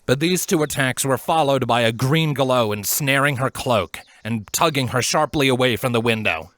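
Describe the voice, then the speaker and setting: A man reads a story as its narrator. His voice sits at a middle pitch and his delivery is expressive and dramatic. The recording is dry, with no room sound. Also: moderate pace, dry recording. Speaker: fan fiction reader, narrator.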